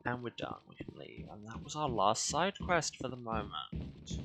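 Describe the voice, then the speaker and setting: A man's voice: medium pitch, sing-song, normal speed, vocal fry, background noise. A man giving casual, dryly sarcastic commentary while playing a video game. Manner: dry, sarcastic asides. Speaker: host of a game playthrough.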